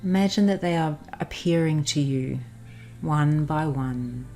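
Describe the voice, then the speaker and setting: A woman narrating a guided meditation in a soothing, instructional manner with pauses. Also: low voice. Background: soft background music.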